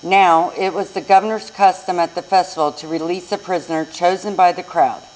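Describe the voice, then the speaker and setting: A woman's voice: lowish voice, steady tone, a little fast, darkish tone, low-quality recording. An American woman reading a scripture narrative aloud as narrator in a live church recording. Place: church service.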